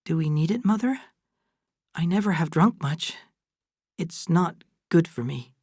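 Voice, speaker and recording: A woman voicing a restrained daughter with a scheming streak in an audiobook, speaking in a controlled, deliberate way. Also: low-pitched, dry recording, studio quality, voice pushed up. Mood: moved, nervous. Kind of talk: dramatised audiobook dialogue.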